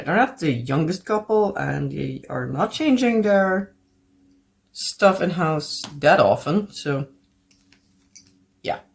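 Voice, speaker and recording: A Dutch woman with a Dutch accent chatting casually at home as a craft vlogger, rambling and searching for English words with frequent little laughs. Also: fairly low pitch. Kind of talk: casual chat while crafting.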